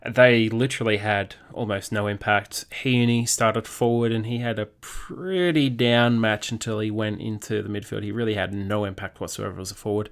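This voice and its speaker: A man's voice: on the low side, fairly even intonation, normal speed, hardly any pauses, clear, resonant tone. A man hosting a sports podcast, giving opinionated, emphatic analysis. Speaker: podcast host.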